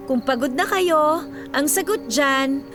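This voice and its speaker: An actress playing an overworked young millennial daughter with a Filipino accent, theatrical and sarcastic in a comedic radio drama. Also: female voice, high voice, takes it slowly. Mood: mock-sincere. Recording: music behind the voice.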